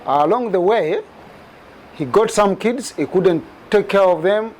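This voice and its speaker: A man's voice: lively intonation, a little slow, a little mellow, slight background noise. A man, giving a personal testimony as an immigrant and repeating phrases for emphasis. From Uganda. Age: 40s. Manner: emphatic, repeats phrases, rises in pitch on key details. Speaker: immigrant telling a story.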